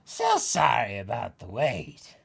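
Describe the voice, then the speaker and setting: A man's voice: lowish voice, wildly swooping pitch, takes it slowly, creaky, husky, full character voice. A man voicing a huge pirate matriarch, sweetly motherly yet callous, in a comic reading. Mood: grandly welcoming.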